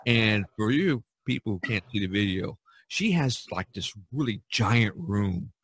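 A man's voice: lowish voice, expressive, a little slow, husky. A man hosting a casual podcast chat over a video call, rambling with many fillers and restarts. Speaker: podcast host.

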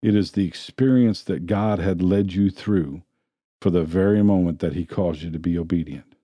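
A man preaching a sermon in a church, retelling scripture stories in a plain, steady way. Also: low-pitched, moderate pace, dry recording, studio quality. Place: church sanctuary.

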